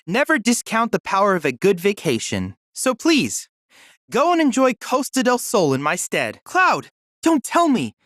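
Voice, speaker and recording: A young android research assistant in a video game, courteous and earnest. His speech is formal and precise, with lively rises and falls in pitch. The sound is dry, with no room around it. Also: a little slow, dry recording, heavily acted. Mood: polite, wistful, then eager, curious. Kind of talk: scripted video game dialogue.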